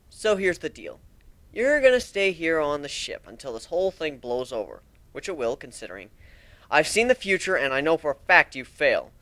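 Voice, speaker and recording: Griffin interrogator read by a man: swaggering, sarcastic, menacing male creature, slightly high forceful voice, fantasy storybook. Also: no echo, full character voice.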